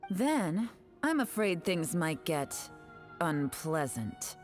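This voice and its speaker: A self-assured female agent from a video game, speaking in a lowish voice. She stays composed and dry, with quiet menace, over faint music. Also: big pitch swings, slow. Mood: dry, threatening. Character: cool, self-assured woman agent guarding forbidden ground.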